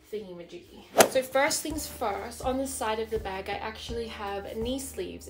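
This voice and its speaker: A woman's voice: fairly high pitch, noisy background. A young woman in her twenties chatting casually in a show-and-tell fitness vlog at home, upbeat, with small laughs and loose asides. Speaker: fitness vlogger. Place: bedroom at home, indoors.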